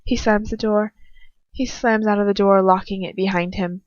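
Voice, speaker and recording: A woman reading a dramatic first-person story aloud with an emotive, slightly halting delivery. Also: mid-range voice, fairly even intonation, no echo. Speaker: amateur fan fiction reader. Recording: dull lo-fi home recording.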